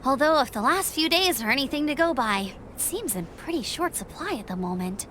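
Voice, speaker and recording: A woman's voice: high voice, animated, fairly quick, fairly bright tone, slight background noise. A woman playing a good-natured young adventurer in a video game, polite and easygoing in manner. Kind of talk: English video game voice acting. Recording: game music underneath.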